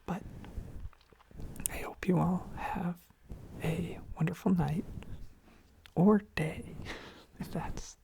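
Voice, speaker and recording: Soft whisper, very creaky fry, medium pitch, sleepy rambling ASMR delivery, repeated trailing phrases, crinkling close by. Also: fairly even intonation, drawn-out words, long pauses. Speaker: ASMR host.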